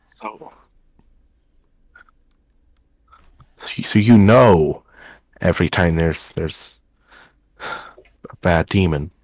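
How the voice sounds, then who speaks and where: A man's voice: low voice, takes it slowly, darkish tone, no echo, low-quality recording. Man playing a stammering, suspicious anime questioner. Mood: probing. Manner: stammering, repeats words while pressing questions. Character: wary, sceptical male questioner distrustful of demons. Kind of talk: improvised anime video game roleplay.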